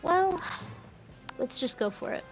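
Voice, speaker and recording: A woman's voice: sits high, sing-song, takes it slowly, creaky, lo-fi sound. A woman giving casual gameplay commentary as a streamer, thinking aloud as she plays. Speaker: game streamer. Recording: game music under the voice. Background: video game music.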